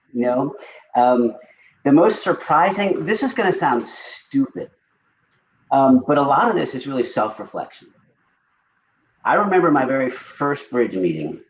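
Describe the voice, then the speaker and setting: A man speaking as a panelist in a reflective, casual conversation, candid and self-deprecating, repeating words for emphasis. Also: medium pitch. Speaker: discussion panelist. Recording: dull, clearly noisy recording.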